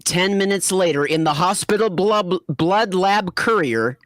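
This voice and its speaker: A woman's voice: lowish voice, lively intonation, unhurried, no room sound, clean studio sound. A woman narrating a comedic story reading in a chatty way, stumbling over words and correcting herself. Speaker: narrator and host of a fanfiction reading.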